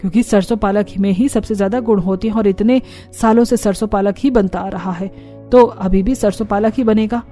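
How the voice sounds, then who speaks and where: A woman's voice: medium pitch, steady tone, brisk, dark tone, faint music behind, natural voice. A woman voicing a strict, suspicious middle-aged mother-in-law in a cartoon family story, questioning and scolding. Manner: scolding, interrogating.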